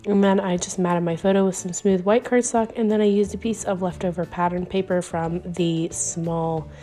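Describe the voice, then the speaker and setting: Hoarse lady rambling about her scrapbook page, low mellow voice, quiet music behind. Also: steady tone, normal speed. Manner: rambling, chatty, frequent ums and little laughs. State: hoarse, voice going out from illness. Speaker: scrapbooking video host. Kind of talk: casual voice-over while crafting.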